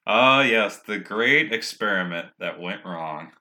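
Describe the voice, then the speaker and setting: A man's voice: fairly low pitch, animated, slow pace, bright, forceful tone, heavily acted. A man playing a stern, curt gnome bounty hunter in a tabletop game, speaking formally and without nonsense.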